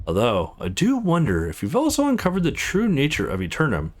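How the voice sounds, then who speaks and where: A man voicing a cold, condescending wealthy businessman in a visual novel, measured and mocking. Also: on the high side, wildly swooping pitch. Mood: smug, menacing.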